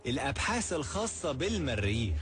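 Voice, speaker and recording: A man's voice: mid-range voice, big pitch swings, fast talker, close to an everyday voice. An actor playing a cheeky captured scientist who mocks his captors in an Egyptian accent. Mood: mocking. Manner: mocking, defiant, wry. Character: cheeky captured male scientist, defiant and mocking. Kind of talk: radio comedy serial acting. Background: music.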